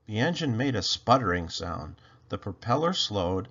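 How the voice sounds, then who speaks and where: A man reading a children's storybook aloud as narrator, with expressive, deliberate and dramatic delivery. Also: fairly low pitch, slightly rough, highs cut off. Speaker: storybook narrator, channel host.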